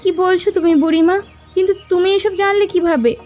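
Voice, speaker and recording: A woman's voice: very high voice, fairly even intonation, quick pace, darkish tone. A woman voicing a devoted, stubborn young village housewife in a cartoon, with a pleading, emphatic delivery. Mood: curious. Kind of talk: Bengali fairy-tale cartoon dubbing. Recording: music bed under the voice.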